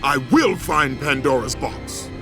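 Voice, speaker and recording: A fierce, tormented Spartan captain from an epic game. He declaims slowly and emphatically, his pitch swinging enormously, with prominent music behind him. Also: sing-song. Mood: vengeful fury. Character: wrathful, tormented male Spartan warrior captain.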